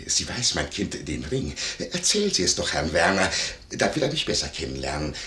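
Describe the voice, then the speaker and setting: Nosy wheedling innkeeper, highly animated intonation, theatrical radio comedy, roomy vintage 1950s recording. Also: male voice, a little fast, heavily acted. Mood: indignant, offended. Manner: ingratiating, wheedling, over-protesting. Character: fawning, nosy, greedy male innkeeper. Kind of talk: 1950s German radio play acting of classical comedy.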